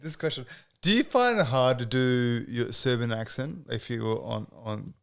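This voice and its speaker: Australian male podcast host, clear smooth voice, chatty and affirming. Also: mid-range voice, lively intonation, moderate pace. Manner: chatty, affirming with frequent mm-hmms. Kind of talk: casual interview conversation.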